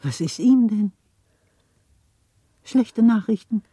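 A woman's voice: a little high, animated, takes it slowly, dark tone, breathy edge, dry recording, exaggerated voice. An actress playing a worldly, inquisitive society lady in a period radio drama, chatty and knowing. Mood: concerned. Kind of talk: radio play acting.

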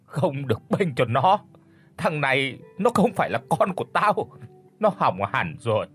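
A frail, sick old mother from a storybook tale, as a male narrator puts her on, wailing and pleading in a husky voice that rises and falls a great deal. a faint guitar plays underneath. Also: medium pitch, very animated, normal speed. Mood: bitter. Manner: wailing, lamenting.

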